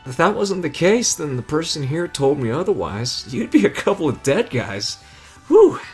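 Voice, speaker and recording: A man's voice: fairly high pitch, sing-song, normal speed, soft background music. A man playing an easygoing, friendly but tough teenage delinquent in an anime roleplay, casual and slangy. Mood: cool threatening. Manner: casual, slangy, addresses listener as buddy. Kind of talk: anime fan ASMR roleplay.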